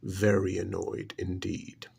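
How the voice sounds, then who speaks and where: A man performs a rich, condescending older uncle in an ASMR roleplay. His voice is extremely husky and crackles with heavy fry. He speaks very slowly, letting each sarcastic, irritated phrase hang. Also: low-pitched, monotone, a little mellow, exaggerated voice. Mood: haughty annoyance. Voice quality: gravelly, husky, breathy. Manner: slow, condescending, drawn-out sarcasm with pauses.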